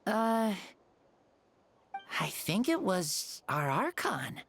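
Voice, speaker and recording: A woman's voice: medium pitch, big pitch swings, very slow, soft background music. A woman voicing an earnest, wide-eyed child in a video game, speaking with hesitant wonder. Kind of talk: video game character acting.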